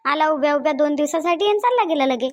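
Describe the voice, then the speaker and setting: High-pitched older village mother, acted by a woman. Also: lively intonation, quick pace, darkish tone, dull audio. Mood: reproachful, urging. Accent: Varhadi (Vidarbha) Marathi. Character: lonely older village mother, plain-spoken and nagging. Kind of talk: cartoon family drama dialogue.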